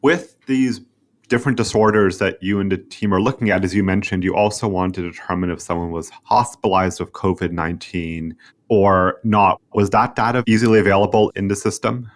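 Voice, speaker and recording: A man's voice: sits low, steady tone, a little fast. A man hosting a professional podcast interview, politely recapping points and putting questions. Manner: polite, recaps before asking.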